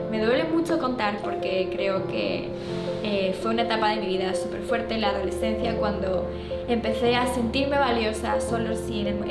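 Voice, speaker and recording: A young Peruvian woman with a Spanish accent giving a personal testimony, halting with many fillers and restarts. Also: on the high side. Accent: Spain. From Peru. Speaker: youth group speaker. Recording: echoey, distant mic, loud music behind.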